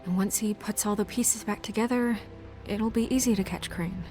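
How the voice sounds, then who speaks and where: A woman's voice: mid-range voice, moderate pace, dark tone, faint music behind, natural voice. A woman voicing an earnest, businesslike office administrator in a video game, with naturalistic, hesitant phrasing. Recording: faint score under the voice.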